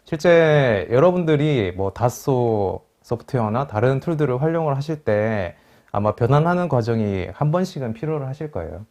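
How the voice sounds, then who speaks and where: A man with a medium-pitched voice speaks as an expert co-presenter in a software webinar. He explains in a polite, conversational and advisory way. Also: lively intonation, moderate pace. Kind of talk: informal explanatory commentary.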